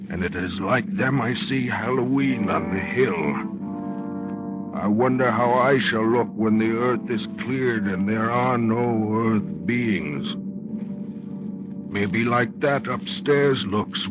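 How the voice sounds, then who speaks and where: An actor playing an uncanny, coldly menacing country boy in a brooding 1940s radio horror style. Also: on the low side. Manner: slow, brooding, rustic phrasing. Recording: vintage radio recording under loud music.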